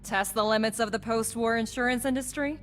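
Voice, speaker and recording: A woman's voice: a little high, fairly even intonation, brisk, lightly acted. An actress playing a cheeky, eager female squad agent in scripted tactical game dialogue.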